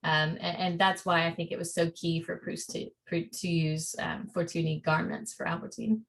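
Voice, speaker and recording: A woman's voice: on the low side, steady tone, normal speed, gravelly, husky. A woman scholar explaining her research thoughtfully, with slight hesitations, in a video call conversation. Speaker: scholar guest. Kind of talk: conversational interview.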